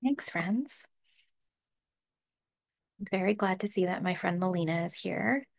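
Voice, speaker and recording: A woman meditation teacher giving a relaxed, casual dharma talk over a video call, laughing often and using lots of fillers. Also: mid-range voice, unhurried, vocal fry. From Canada.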